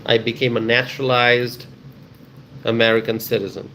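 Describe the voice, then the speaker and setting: A man's voice: medium pitch, flat delivery, normal speed, bright, forceful tone, a little hiss or hum. Emphatic man chatting live with viewers. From Bangladesh. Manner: repeats phrases for emphasis. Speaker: livestream host answering viewer comments. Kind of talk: casual live chat with viewers.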